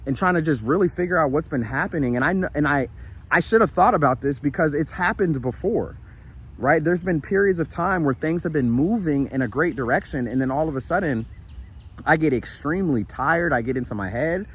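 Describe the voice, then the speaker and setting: A man's voice: fairly high pitch, lively intonation, fairly quick, slightly rough. Earnest man vlogging on a walk outdoors. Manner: earnest, repetitive, with frequent "right?" and restarts; walking while he talks. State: tired and sweating. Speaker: spiritual motivational vlogger. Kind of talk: casual encouraging monologue. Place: outdoors in a shaded park.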